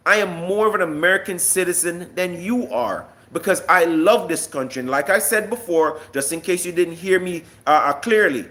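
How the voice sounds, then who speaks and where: A man's voice: high voice, pushed and bright. A man delivering an impassioned, combative political monologue to online viewers, emphatic and full of rhetorical questions. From Jamaica. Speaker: live-stream political commentator.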